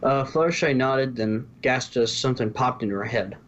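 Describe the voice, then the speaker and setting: A man narrates a story from a script in a mid-pitched voice. The recording is a little dull and lo-fi, with faint noise behind him. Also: normal speed, fairly bright tone. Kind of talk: scripted fan fiction narration.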